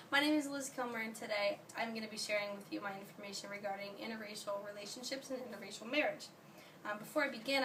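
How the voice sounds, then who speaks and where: A young woman who is hoarse from being sick gives an earnest class speech, halting often and restarting her sentences. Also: medium pitch. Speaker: student giving a speech. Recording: distant mic, faint ambient noise.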